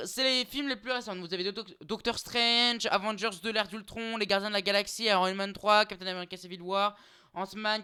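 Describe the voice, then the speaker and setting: A man's voice: high-pitched, bright, forceful tone, clear, resonant tone. A young man talking casually to his viewers in a vlog, hesitant, full of fillers and restarts, calling them guys. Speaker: YouTuber listing streaming titles. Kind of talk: casual unscripted vlog talk.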